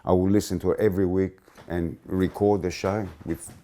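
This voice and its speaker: A Greek-born man in his fifties with a Greek accent, reminiscing in a casual interview with halting restarts. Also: low voice, level tone, mellow. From Greece. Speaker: interviewee, blues musician.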